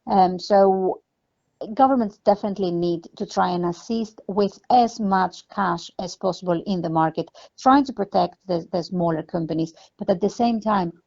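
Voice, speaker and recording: A woman answering interview questions over the phone as a company executive, explaining things in an earnest, measured way. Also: vocal fry, a little mellow. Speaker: film production company CEO being interviewed. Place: on a phone call. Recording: muffled, low-fidelity phone interview.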